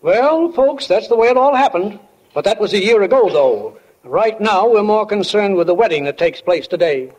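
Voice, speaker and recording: A woman's voice: medium pitch, lively intonation, moderate pace, darkish tone, close to an everyday voice. A woman playing a folksy, plainspoken small-town stage manager who narrates an old radio drama in a dull, reverberant recording. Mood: folksy, musing.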